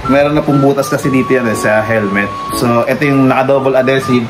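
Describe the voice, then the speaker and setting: A man's voice: medium pitch, a little fast, low-quality recording. A man with a Filipino accent giving a casual hands-on tutorial as a vlogger, addressing viewers in a conversational, familiar and polite way. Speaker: vlogger presenting an installation. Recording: music behind the voice.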